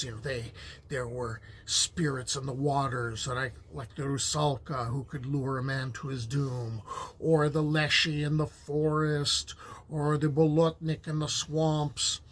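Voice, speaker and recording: A middle-aged man who grew up speaking Ukrainian at home, giving a casual, rambling lecture on folklore as a history teacher, with many fillers and restarts. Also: a little high. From United States.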